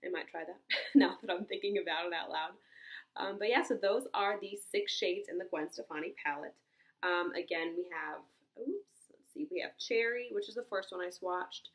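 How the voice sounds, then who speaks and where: A woman's voice: fairly low pitch, normal speed. A young woman with a congested, stuffy voice, chatting casually as she swatches and reviews makeup, thinking aloud with many ums and small exclamations. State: congested from a cold. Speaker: beauty vlogger.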